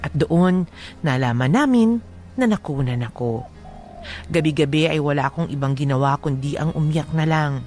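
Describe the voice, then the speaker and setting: A woman's voice: low-pitched, normal speed, a little mellow, soft background music, lightly acted. A woman as Ellen, earnest young storytelling wife. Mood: sorrowful. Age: 20s. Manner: earnest, reflective. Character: Ellen, heartfelt 29-year-old wife telling her life story. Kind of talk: dramatic first-person radio narration.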